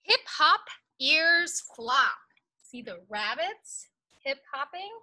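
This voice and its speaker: A woman's voice: high voice, big pitch swings, slow, bright, forceful tone, poor audio. A young woman reads a picture book aloud to small children outdoors, sing-song and carefully enunciated, with emphasis on the rhyming words. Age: young adult. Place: outdoors in a leafy backyard.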